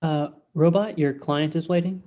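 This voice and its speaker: A man's voice: a little high, steady tone, normal speed, warm tone, highs cut off, natural voice. A young man playing a hapless, lovestruck thirty-year-old receptionist in a comedy script read over a video call. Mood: hesitant. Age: young adult. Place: indoor room at home, video call.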